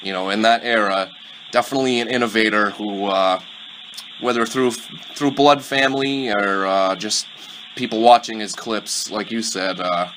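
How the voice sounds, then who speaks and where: Low-voiced man hosting a chatty interview. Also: a little hiss or hum, poor audio. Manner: relaxed, chatty, many fillers, quick laughs. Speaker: podcast host. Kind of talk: casual interview.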